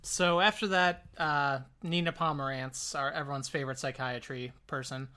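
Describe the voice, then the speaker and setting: A woman's voice: rumbling low, steady tone, moderate pace, sharp tone. A woman with a mild Illinois accent co-hosting a podcast, chatting casually, self-deprecating and swearing freely. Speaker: podcast co-host. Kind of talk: casual chat.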